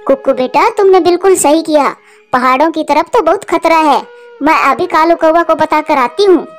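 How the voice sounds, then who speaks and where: A woman voicing a kind, sensible mother bird, calm and reassuring, in a cartoon. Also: sits high, moderate pace, soft background music, natural voice. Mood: approving. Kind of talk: Hindi cartoon voice acting.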